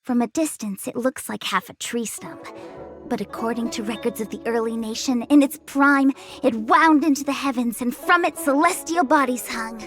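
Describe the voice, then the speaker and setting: A woman voicing a cheeky, game-loving young slacker in a video game, with a breezy, excitable, chatty manner. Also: on the high side, moderate pace, soft background music, lightly acted. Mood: relaxed, chatty. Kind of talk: video game character acting.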